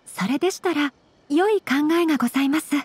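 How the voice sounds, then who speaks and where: A woman playing a young noble lady in an anime game, elegant and gentle, with formal, courteous speech. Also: high-pitched, fairly quick, slight background noise, natural voice. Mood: polite.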